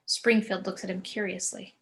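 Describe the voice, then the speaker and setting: A woman's voice: medium pitch, flat delivery, moderate pace, gravelly, fairly bright tone. A woman narrating scene directions for a screenplay table read, occasionally stumbling over words.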